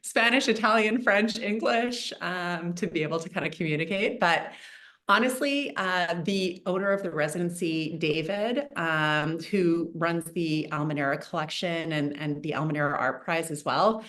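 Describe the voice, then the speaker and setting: Chatty low-voiced woman, casual interview. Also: moderate pace, a bit bright. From Canada. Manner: chatty, many fillers and self-restarts. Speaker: guest artist.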